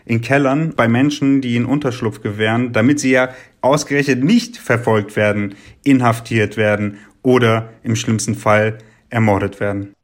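A man's voice: mid-range voice, steady tone, moderate pace. A man from a student initiative speaking firmly and frankly in an interview. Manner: firm, frank. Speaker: student activist. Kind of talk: interview statement.

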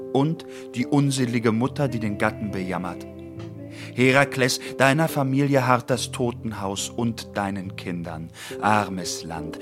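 Radio tragedy, male actor as anguished old man, solemn slow declamation, mid pitch, music behind. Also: natural voice. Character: anguished old Greek man lamenting, calling for Herakles. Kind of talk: declamatory verse radio drama.

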